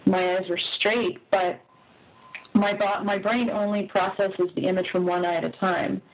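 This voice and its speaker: A young woman, raised in the United States with Russian as her first language, answers interview questions in a chatty, animated way. She strings her story along with lots of "uh" and "and then", and her voice sits at a middle pitch. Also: normal speed, poor audio. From United States, born in the former Soviet Union. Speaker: author and interview guest.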